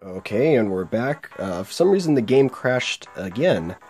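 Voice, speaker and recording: A man's voice: medium pitch, big pitch swings, measured pace, darkish tone. A young man with a sore throat chatting casually through a game, reading its lines aloud. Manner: chatty, laughs while reading. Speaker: game let's play host. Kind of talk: casual gameplay commentary, reading dialogue aloud. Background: faint game music.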